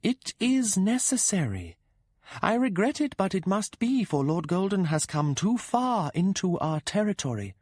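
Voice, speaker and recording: A man doing a high, cold-hearted ghost woman. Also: very animated, a little mellow, exaggerated voice. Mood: cold insistent. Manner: soothing yet ruthless, slow and deliberate. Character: possessive, coldly loving dead woman spirit.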